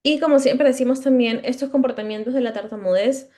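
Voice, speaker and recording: A woman's voice: high-pitched, brisk, mellow. A woman who stutters, giving a conference lecture as a speech-language pathologist, explaining step by step, with occasional mid-word restarts and checking questions to the audience.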